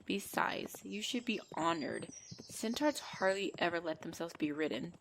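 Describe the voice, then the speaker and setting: A woman's voice: on the low side, expressive, normal speed, vocal fry, fairly bright tone, a little hiss or hum. A woman voicing a possessive female centaur in an ASMR roleplay, bantering and commanding, with dry exasperation. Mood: exasperated, bossy. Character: possessive female centaur, bossy, teasing, half-gentle captor.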